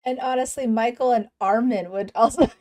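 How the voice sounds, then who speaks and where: A woman's voice: a little high, normal speed, noisy background. A woman hosting a fan podcast, interviewing with enthusiasm and laughing easily. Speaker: podcast host.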